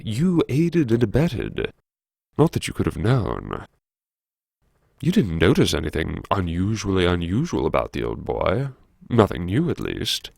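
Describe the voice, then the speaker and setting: An actor as a creaky, wildly expressive academic. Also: male voice, lowish voice, dry recording, studio quality, exaggerated voice. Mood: rueful musing. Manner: theatrical, ruminative, self-mocking, old-fashioned idiom. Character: wry, brooding old-fashioned male academic musing alone. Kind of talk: first-person audiobook drama monologue, talking to himself.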